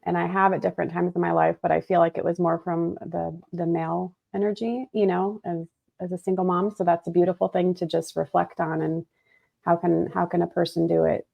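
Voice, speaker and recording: Woman co-host, low gravelly tone, thoughtful and agreeable, tinny phone sound. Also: level tone, brisk. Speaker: podcast co-host. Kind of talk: casual podcast chat.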